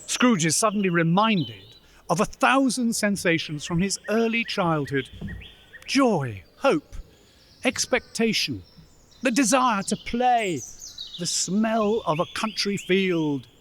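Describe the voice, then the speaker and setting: A man narrating a radio play as a scripted storyteller, in a measured, dramatic manner. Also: high voice, expressive, fairly bright tone, slight background noise.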